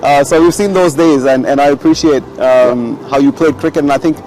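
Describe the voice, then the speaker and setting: A man from Pakistan hosting a casual cricket chat, halting with frequent fillers and restarts. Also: a little high, darkish tone, low-quality recording. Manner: hesitant, many fillers and restarts. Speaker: sports show host.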